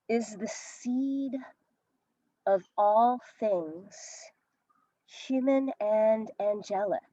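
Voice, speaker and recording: A woman giving a deliberate lecture over a video call, repeating key phrases for emphasis. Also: mid-range voice, mellow, dry recording, highs cut off. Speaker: presenter. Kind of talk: slow lecture.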